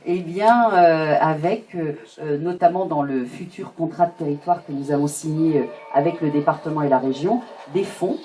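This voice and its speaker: A woman giving a formal, semi-improvised public speech as a local official, halting and often searching for words. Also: deep voice, mellow. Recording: distant microphone.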